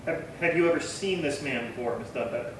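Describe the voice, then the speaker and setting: A man's voice: mid-range voice, fairly quick, lightly acted. A man acting a polite, curious police detective in a 1950s-style radio crime drama, questioning plainly and deferentially. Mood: polite, inquiring. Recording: very echoey, distant, noisy room.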